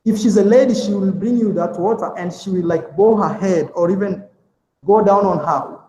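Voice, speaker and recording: A man's voice: high voice, normal speed, warm tone. A young man, a guest telling stories in a casual interview, rambling with lots of fillers and restarts. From Kenya. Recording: echoey room with long reverb.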